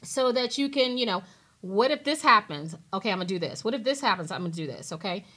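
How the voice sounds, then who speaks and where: A woman guest on a talk radio show, explaining personal finance with lots of everyday examples in a lively, teacherly way. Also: medium pitch, fast. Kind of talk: casual radio interview.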